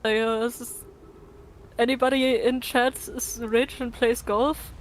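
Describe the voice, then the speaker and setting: A woman's voice: on the high side, flat delivery, unhurried. A woman chatting casually on an online voice call during a game stream. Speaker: co-streamer in voice chat. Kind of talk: casual chat. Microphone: Discord voice call with noise cancellation. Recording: noisy online voice chat.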